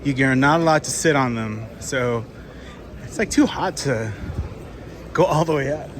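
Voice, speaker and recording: A man vlogging a walking tour outdoors on city streets. He is slightly hoarse from a cold and rambles casually, thinking aloud with lots of fillers. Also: medium pitch, measured pace. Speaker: travel vlogger. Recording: street noise behind the voice.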